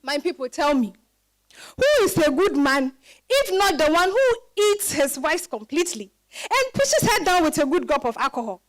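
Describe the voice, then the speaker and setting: Old Ghanaian grandmother, very high swooping voice, wry, scolding, exclamatory; dramatized reading. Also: big pitch swings, moderate pace, rough recording. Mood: scolding, amused. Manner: exclamatory, probing with rhetorical questions, chuckling. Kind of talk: dramatized stage-style reading.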